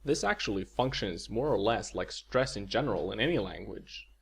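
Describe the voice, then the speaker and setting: A man teaching in a scripted video lecture, explaining clearly and saying example words for listeners to repeat. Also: on the low side, animated, moderate pace. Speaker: language teacher.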